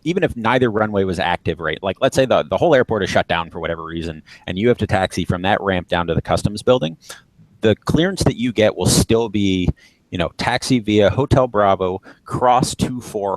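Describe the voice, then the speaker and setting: A man's voice: lowish voice, lively intonation, brisk, no room sound, low-quality recording. A man giving an informal lesson as an instructor, explaining step by step and repeating points for emphasis. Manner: explanatory, emphatic repetition for stress. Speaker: flight instructor. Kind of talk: informal live lesson on radio procedures.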